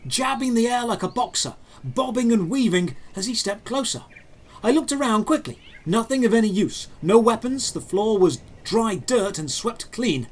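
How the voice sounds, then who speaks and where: Guy with a fairly high voice reading a fantasy adventure story, dramatic, first person. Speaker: narrator and creator of an audio drama.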